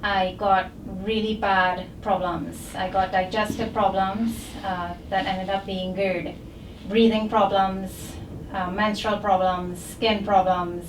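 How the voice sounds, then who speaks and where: Woman, Barbadian accent, patient, halting phrases, mid-pitched, distant mic, noisy background. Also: flat delivery, reverberant. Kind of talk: recounting a medical history. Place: indoor chiropractic clinic.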